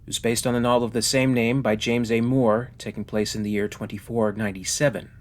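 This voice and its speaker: A man hosting a video review, reading scripted narration in a measured, articulate and formally phrased way. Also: mid-range voice, steady tone.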